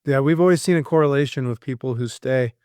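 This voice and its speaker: A man's voice: medium pitch, fast, a little mellow, clear, resonant tone, no echo. A man hosting a casual interview, asking questions informally and often affirming what his guest says. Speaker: podcast host, interviewer.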